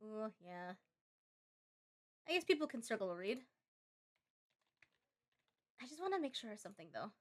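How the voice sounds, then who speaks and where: A woman streaming a game live, chatty and expressive, reading the on-screen story aloud and tossing in playful asides. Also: fairly high pitch, moderate pace, pauses often. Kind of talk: live let's-play commentary, reading game text aloud.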